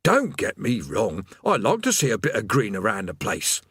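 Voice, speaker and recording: A put-upon demon gatekeeper, acted by a man, grumbling and mournful in a raspy rumble. The pitch lifts and swoops widely, and the sound is dry and close, as in an audiobook. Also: huge pitch swings, moderate pace, a bit bright, no echo, exaggerated voice. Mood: aggrieved. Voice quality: rasping, rumbling. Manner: plodding recited customer-service patter breaking into chatty working-class grumbling.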